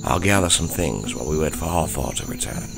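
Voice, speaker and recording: A man playing a gruff, impatient forest trapper in a fantasy audio drama, with a gravelly voice and dry, deliberate delivery. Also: extremely low pitch, lively intonation, music in the background, full character voice. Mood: eager, impatient.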